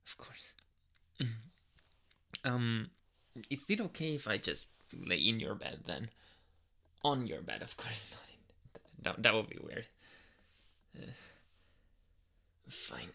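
Guy acting as a shy flustered anime boyfriend, tsundere type, crisp bright voice w some creak, asmr roleplay. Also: medium pitch, very animated, slow, long pauses, heavily acted. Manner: stammering, flustered, hesitant with nervous laughs. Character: nervous tsundere teenage anime boy, flustered secret crush.

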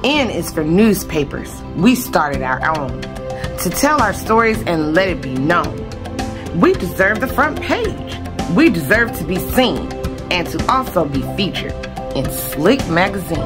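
Low-voiced, lively woman reading aloud slowly. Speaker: children's book read-aloud host. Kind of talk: expressive read-aloud of a rhyming picture book. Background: music.

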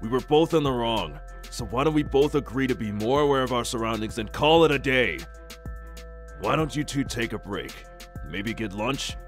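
Kindly sheepish middle-aged hero played by a man, polite, placating, very varied pitch, light music behind, anime dub. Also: animated, moderate pace, lightly acted. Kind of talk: amateur anime comic dub acting.

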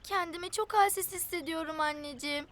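A woman voicing a small, sweet village child in an animated children's cartoon. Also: extremely high pitch, brisk, a little hiss or hum. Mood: weak, unwell.

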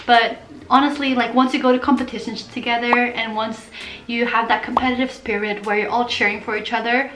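A young woman from Portugal, talking casually to camera in an animated, chatty vlog style while gesturing with her hands. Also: a little high, brisk, echoey room, over music, low-quality recording. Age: young adult. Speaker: vlogger.

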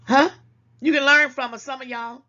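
A woman's voice: high-pitched, fairly even intonation, normal speed, pushed and bright, dull audio. An older woman hosting an online talk show, scolding and preaching to her viewers and calling them baby, at times a little congested. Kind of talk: casual commentary to viewers.

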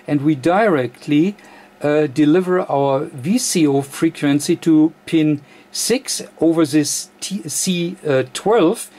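A man who is a non-native English speaker gives a technical walkthrough as an electronics presenter, speaking haltingly with many uhs. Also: on the high side, a little mellow. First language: not English. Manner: halting, hesitant, frequent uhs. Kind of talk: technical walkthrough of a radio modification. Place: indoor electronics workbench, off camera.

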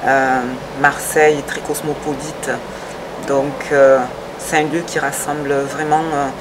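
A woman in her thirties explaining her project in a conversational interview, pausing often between short phrases. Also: low-pitched, flat delivery. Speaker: restaurant owner. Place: restaurant terrace, dining room and working kitchen. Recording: distant, echoey, loud background noise.

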